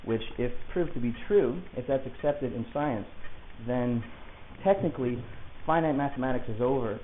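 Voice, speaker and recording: A man playing an agitated, rambling client who insists he is being targeted, talking in a halting, digressive, self-justifying way in a naturalistic audio drama. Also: medium pitch, natural voice. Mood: earnest, insistent. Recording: hissy, tinny phone-like lo-fi recording.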